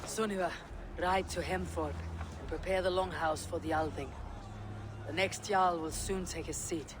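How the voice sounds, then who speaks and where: Steadfast Viking fighter, male actor, reverent and formal, voice a touch high, video game drama, clear background noise. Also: fairly even intonation, normal speed, natural voice. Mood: steady, commanding. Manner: grave, terse, formal. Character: loyal, reverent Viking warrior, grave and steady.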